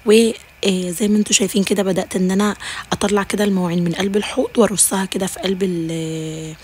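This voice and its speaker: Egyptian woman, extremely fast talker, affectionate with viewers, slightly tired voice, faint room noise. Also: mid-range voice, fairly even intonation, darkish tone. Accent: Egyptian Arabic. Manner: affectionate, addresses viewers as dear sisters. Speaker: home routine vlogger. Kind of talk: casual voice-over narration.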